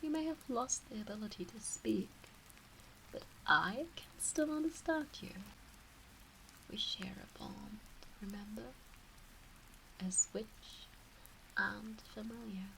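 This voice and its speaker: Woman as kitsune witch mistress for ASMR roleplay: motherly, teasing, very slow whispery cooing, creaky edges. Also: a little high, fairly bright tone, a little hiss or hum, exaggerated voice, low-quality recording. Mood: tender, soothing.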